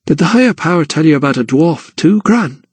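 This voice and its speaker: An earnest, sharp-witted young woman whose questions come pointed and pleading, as a male narrator puts her on. Her voice sits a little higher than his, and the sound is dry. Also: lively intonation, brisk, husky, no room sound. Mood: questioning.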